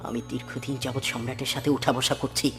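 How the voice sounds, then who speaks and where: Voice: mid-range voice, level tone, fast talker, fairly bright tone, soft background music, close to an everyday voice. An actor's cartoon performance of a vain, scheming rich man who is boastful and fawning, with theatrical swoops from mutters to cries. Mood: anxious muttering. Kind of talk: dramatic Bengali cartoon voice acting.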